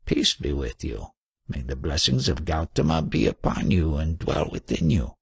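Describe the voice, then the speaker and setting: A man performs an old holy hermit from a storybook, frail and mild. The voice is dry, cracked and gravelly, sitting very low, with solemn phrasing. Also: normal speed, dry recording, exaggerated voice. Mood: mild reproach, blessing. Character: ancient, mild, ailing male Tibetan hermit, holy man.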